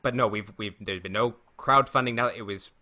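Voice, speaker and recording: Bright-voiced man co-hosting a podcast. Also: on the low side, fairly quick. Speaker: podcast co-host. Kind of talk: casual podcast chat.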